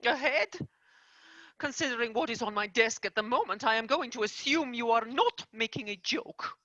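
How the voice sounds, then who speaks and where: Woman as a prissy horrified tooth fairy boss, high bright voice, talks slow. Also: no echo, lo-fi sound. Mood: prim. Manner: prim, formal, aghast. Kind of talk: stage play performance.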